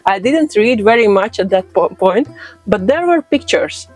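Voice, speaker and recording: A middle-aged woman with a Latvian accent, a yoga expert answering interview questions in a thoughtful way, pausing often with fillers. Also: mid-range voice, expressive, faint music behind. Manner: thoughtful, with frequent pauses and "uh" fillers.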